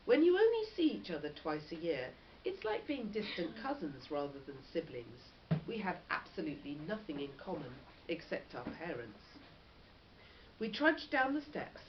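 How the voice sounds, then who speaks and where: Lady teacher reading a book to class, really lively voice, bit muffled and noisy. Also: fairly low pitch, normal speed. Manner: expressive, questioning, encouraging. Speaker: primary school teacher. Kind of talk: reading a storybook aloud to a class, with discussion. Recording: dull lo-fi recording with background noise.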